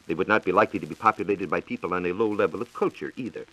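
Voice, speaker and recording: A man's voice: low-pitched, very fast, bright, forceful tone, rough recording. A man with an American accent giving a formal, explanatory scripted science talk on 1940s radio. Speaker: science reporter.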